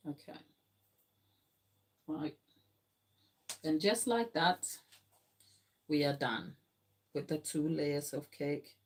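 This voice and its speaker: Casting: middle-aged Ghanaian-accented woman, non-native speaker, slightly low voice, informal live-stream instructor talking viewers through each step. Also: expressive, very slow, long pauses, breathy edge. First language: not English. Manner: explanatory, chatty, addressing viewers. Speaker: cake decorating instructor. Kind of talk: casual live-stream tutorial. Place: home kitchen, indoors.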